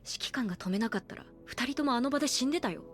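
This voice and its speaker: Guarded young anime heroine, hesitant yet blunt. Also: female voice, sits high, animated, very fast. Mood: blunt, resentful. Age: young adult. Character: guarded, blunt young woman, awkward and distrustful of outsiders. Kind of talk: anime audio drama, conversational acting.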